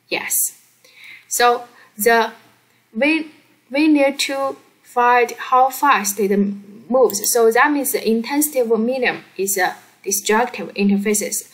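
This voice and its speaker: A woman who is a non-native English speaker explains a worked problem step by step as a teacher, halting and often checking that the learner follows. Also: mid-range voice, rough recording. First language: not English. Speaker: physics teacher.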